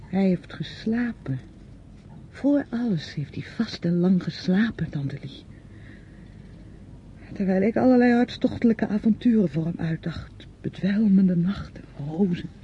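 A woman's voice: animated, unhurried, lightly acted. An actress playing a bitter, grieving younger woman in a radio drama, theatrical and reproachful, lingering over her words. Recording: muffled old radio recording, faint rumble.

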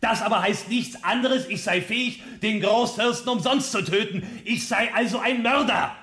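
A man playing a principled, proud revolutionary in a radio play, speaking with earnest, deliberate stage diction. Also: sits high, sharp tone, slightly rough, exaggerated voice. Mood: indignant. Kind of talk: dramatic radio play acting.

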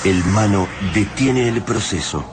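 A man's voice: on the low side, a little slow, background noise, close to an everyday voice. A man narrating a radio drama in a grave, literary manner. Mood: grave, ominous. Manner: solemn, literary. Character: grave male narrator of a dark science-fiction tale. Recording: music bed behind the voice.

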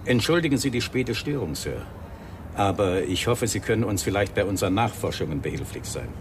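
Low-voiced sardonic police inspector. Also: male voice, sing-song, a little fast, background noise. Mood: politely probing. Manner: dry, teasing, politely probing. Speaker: actor in a radio crime play. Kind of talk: German radio crime drama acting.

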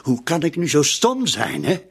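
Dogged detective, husky, very animated, mocking, radio drama. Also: male voice, a little high, normal speed, full character voice. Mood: agitated, self-reproachful. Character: gruff male police inspector, excitable, dogged, sarcastic. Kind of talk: radio drama acting in dialogue.

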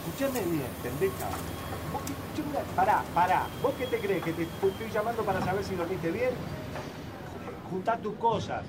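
An actor plays a crude, hot-tempered factory worker raging into a phone in a radio play. His high Rioplatense Argentine male voice swings widely, stammering and repeating itself, over noisy ambience. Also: expressive, normal speed. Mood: furious. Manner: aggressive, stammering repetitions. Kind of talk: naturalistic radio drama acting. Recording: noisy ambient location sound.

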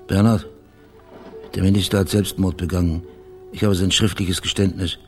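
An actor playing a stern, weary investigator in a German radio crime drama, with a husky, raspy voice and a terse, grave manner. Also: male voice, low voice, steady tone, moderate pace, faint music behind, lightly acted. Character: stern, weary male investigator pressing suspects.

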